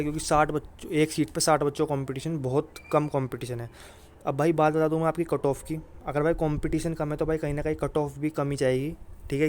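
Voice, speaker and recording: A man's voice: fairly high pitch, darkish tone. A man giving informal exam guidance like a coach, repeating his points emphatically and often addressing listeners directly. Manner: repetitive, emphatic reassurance with frequent filler address.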